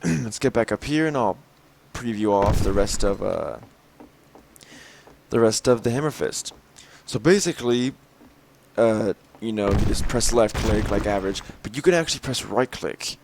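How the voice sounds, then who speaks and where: Slightly raspy young man narrating gameplay. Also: fairly low pitch, background noise. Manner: rambling, frequent fillers and throat clearing. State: slightly raspy from lack of sleep. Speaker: gameplay video host. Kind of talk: mod showcase commentary. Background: game gunfire and clicks.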